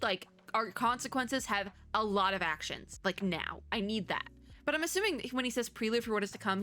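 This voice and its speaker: Chatty girl youtuber, bright voice, rambles and cuts herself off, faint music behind. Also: mid-range voice. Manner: chatty, rambling, self-interrupting. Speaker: YouTuber host. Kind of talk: casual rambling reaction commentary.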